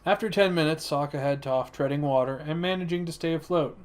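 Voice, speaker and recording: Male story narrator, slightly high voice, expressive reading, fan fiction, faint music behind. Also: a little fast.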